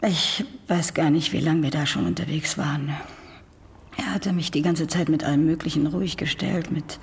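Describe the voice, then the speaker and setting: An actress playing a traumatised, guilt-ridden wife in a radio drama, speaking haltingly and often sinking into a breathy near-whisper. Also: female voice, low-pitched, fairly even intonation. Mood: numb, confessing. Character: traumatised, guilt-ridden wife confessing to killing her husband. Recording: faint background noise.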